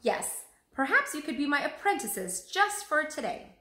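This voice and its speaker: A woman's voice: high voice, very animated, measured pace, pushed and bright, voice pushed up, exaggerated voice. A woman voicing an effusive, grandly eloquent male genius artist in a storybook read-aloud. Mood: pleased. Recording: roomy echo.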